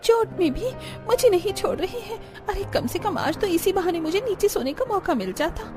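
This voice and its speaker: Whiny young bride woman acting it out, really high voice, cartoon drama, music behind. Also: animated, normal speed. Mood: grumbling. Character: young newlywed daughter-in-law, plaintive, delicate, grumbling. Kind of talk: Hindi cartoon story dialogue.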